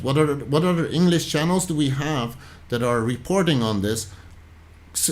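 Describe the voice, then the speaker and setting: A man's voice: fairly high pitch, darkish tone. A man from Iran, hosting a livestream, commenting casually and thinking aloud as he reacts to his chat. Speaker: livestream host.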